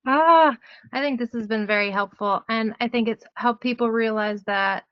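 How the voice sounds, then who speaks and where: A woman's voice: on the high side, moderate pace, no echo, highs cut off. A woman hosting an interview, affirming the guest and summing up her points. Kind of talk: conversational interview.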